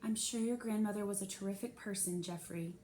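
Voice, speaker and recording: A woman voicing a female school principal in a storybook, speaking with measured, polite authority and formal consolation. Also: mid-range voice, warm tone, lightly acted. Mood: consoling. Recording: echoey room with faint hiss.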